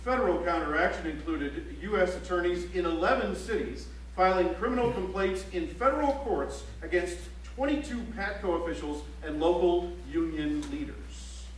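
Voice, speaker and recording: An actor playing a serious newspaper reporter, reading news reports theatrically on an auditorium stage. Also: male voice, high voice, lively intonation, moderate pace, hardly any pauses. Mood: grave, emphatic. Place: library auditorium stage, live play. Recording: echoey auditorium from far off, faint hum.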